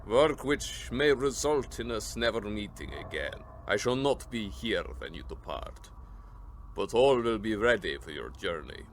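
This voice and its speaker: An actor playing an imperious, sinister vampire count in a radio drama, commanding yet formally courteous. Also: male voice, on the low side, big pitch swings, measured pace, slight background noise. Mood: controlled, courteous.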